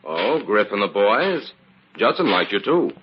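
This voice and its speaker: An actor playing a confident, cool-headed investigator in an old-time radio crime drama. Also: male voice, fairly low pitch, sing-song, a little slow. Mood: affable, probing. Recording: dull, noisy old radio recording.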